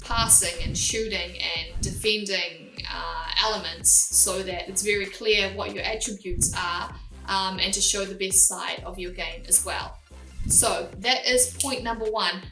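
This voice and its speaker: A woman's voice: medium pitch, a bit bright. A young woman with a New Zealand accent, hosting a vlog and giving direct, practical, step-by-step tips. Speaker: host. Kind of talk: casual advice vlog. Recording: roomy and a bit distant from the mic.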